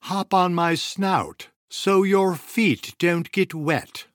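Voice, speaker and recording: A man voicing a sly male fox who coaxes in a smooth, honeyed way. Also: high voice, slow, dry recording, clean studio sound, voice pushed up. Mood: coaxing. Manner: coaxing, honeyed.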